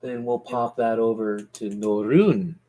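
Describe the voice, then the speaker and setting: A man's voice: mid-range voice, fairly even intonation, measured pace, dark tone. A man narrating a tabletop role-playing game as game master, describing scenes and prompting players with questions.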